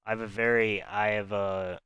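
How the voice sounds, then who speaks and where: A man with a calm, rambling way of talking, hosting an unscripted live weather stream and speaking to his viewers. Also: fairly low pitch, normal speed, smooth, no echo, dull audio. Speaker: livestream weather host.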